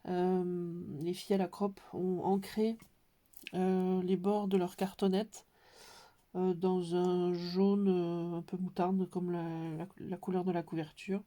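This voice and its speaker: A woman's voice: on the low side, level tone, dark tone. Woman with a cold explaining a craft. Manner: hesitant, many pauses and fillers. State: has a cold. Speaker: scrapbooking tutorial maker. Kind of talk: casual craft tutorial.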